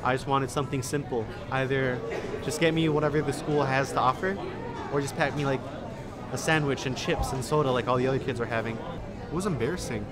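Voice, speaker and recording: A young adult man with an American accent, telling a personal story in a casual, halting, reflective way. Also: medium pitch. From Indonesia and Illinois, United States. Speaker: documentary interviewee. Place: busy food hall, indoors. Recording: loud busy restaurant crowd noise. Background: loud crowd chatter.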